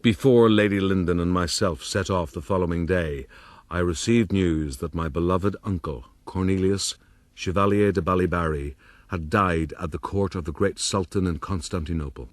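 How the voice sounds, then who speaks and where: Deep-voiced rogue gentleman, played by a man. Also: moderate pace, no echo, close to an everyday voice. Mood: measured recounting. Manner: florid, boastful, rueful. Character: proud, roguish Irish gentleman narrating his own downfall. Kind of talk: first-person period radio drama narration.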